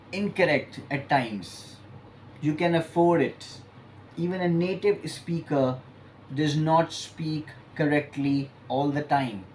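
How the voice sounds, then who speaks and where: A man teaching an exam-prep lesson, explaining points emphatically and stretching key words between pauses. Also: fairly high pitch, a little hiss or hum, low-quality recording. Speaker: IELTS teacher.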